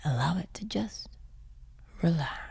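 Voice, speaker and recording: A woman leading a guided sleep meditation in a soothing, hushed and breathy voice, leaving long pauses. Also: fairly low pitch, animated, no room sound. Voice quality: breathy, husky.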